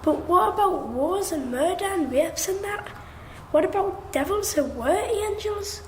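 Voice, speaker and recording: High-voiced, earnest Geordie boy. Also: lively intonation, measured pace. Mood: earnest, questioning. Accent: Geordie, north-east England. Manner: earnest, pleading, questioning. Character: earnest young boy who believes he is an angel. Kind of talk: naturalistic radio drama acting. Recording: roomy sound with faint background noise.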